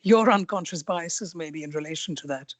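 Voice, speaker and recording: A woman's voice: lowish voice, normal speed, dry recording. A woman hosting an interview podcast, asking reflective, empathetic questions in a conversational way.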